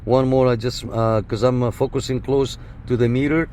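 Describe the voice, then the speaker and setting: A man hosts a casual hands-on radio demonstration from a car. His voice sits at a middle pitch, with short, repeated call-style phrases. Vehicle and outdoor noise runs behind him. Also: steady tone, normal speed, warm tone. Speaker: host of a CB radio antenna test. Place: inside a parked car and outside beside it. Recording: noisy car and outdoor background.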